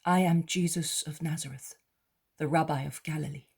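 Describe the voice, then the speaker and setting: A woman voicing a calm, kind male teacher who speaks gently and steadily. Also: on the high side, creaky, breathy edge. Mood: gentle. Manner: gentle, measured.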